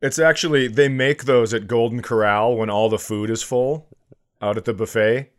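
A man's voice: medium pitch, steady tone, normal speed. Man riffing in casual comic banter. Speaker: comedy podcast co-host.

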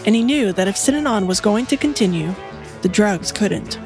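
A woman narrates a documentary podcast in a measured, even storytelling manner. Her pitch sits in an ordinary middle range. Music runs clearly beneath her voice.

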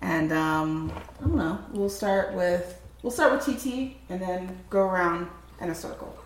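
A woman's voice: on the low side, measured pace, reverberant, slight background noise, low-quality recording. A woman hosting a podcast, sounding a bit nasal as she gets over a cold, talking in an upbeat, chatty way. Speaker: podcast host. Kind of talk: podcast intro and roundtable chat. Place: table at a film festival.

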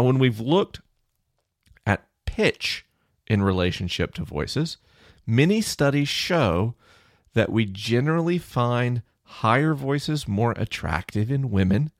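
A middle-aged man with a low, chesty voice, hosting a podcast and casually explaining research in answer to a listener question. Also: no echo, clean studio sound. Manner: conversational, thinking aloud with fillers.

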